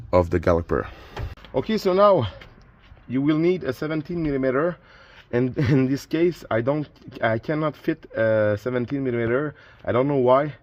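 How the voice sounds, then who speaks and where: A man whose native tongue is French talks through a brake job in plain, instructive steps, often restarting a phrase. His voice sits at an ordinary middle pitch. A faint hiss of background noise runs under the recording. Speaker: DIY car repair channel host. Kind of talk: casual how-to narration while working.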